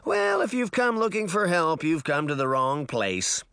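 A man's voice: medium pitch, sing-song, a little slow, creaky, bright, forceful tone, no echo, clean studio sound, heavily acted. A man voicing a boastful, indignant fairy trickster boy in a theatrical, crowing way for an audiobook. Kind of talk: audiobook character voice.

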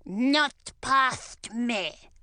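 A woman voicing a big, dim male troll soldier in a fantasy audiobook, with blunt, broken grammar and drawn-out words. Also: fairly high pitch, pushed and bright, no room sound, studio quality, pitched above the performer's own voice, exaggerated voice. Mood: defensive, suspicious.

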